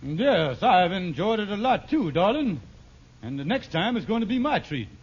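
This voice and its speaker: A man's voice: high voice, sing-song, moderate pace, slightly rough, exaggerated voice. An actor playing a scheming, work-shy man with a hesitant, wheedling manner in a 1940s radio comedy. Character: scheming, work-shy young suitor, later nagged husband. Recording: dull, noisy vintage radio recording.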